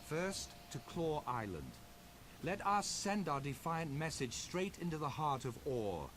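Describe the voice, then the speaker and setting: An actor playing a gentle, earnest male scholar who reluctantly takes command in a video game. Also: on the high side, measured pace. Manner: earnest, calm, a little hesitant. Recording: game audio with background noise.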